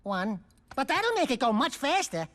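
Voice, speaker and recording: Game sidekick: small wisecracking animal, high-pitched, extremely animated, boastful and whiny. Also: male voice, normal speed. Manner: mouthy, boastful, whiny, comic. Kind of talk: cartoonish game voice acting.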